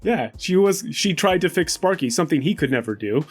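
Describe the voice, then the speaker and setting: A man's voice: on the high side, lively intonation, brisk, breathy edge, faint music behind. A man chatting casually as a player in a tabletop roleplaying game, working out his arguments. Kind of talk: casual chat, in-game discussion.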